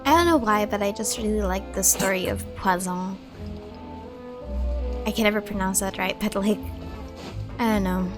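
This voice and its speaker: A woman streamer giving casual, expressive gameplay commentary, thinking aloud informally. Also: mid-range voice, normal speed, long pauses. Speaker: gaming streamer. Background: background music.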